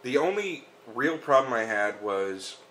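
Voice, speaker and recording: A man chatting as a podcast guest, loud, boisterous and profane. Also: medium pitch, very animated, unhurried, a little hiss or hum, low-quality recording. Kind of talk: profane casual banter.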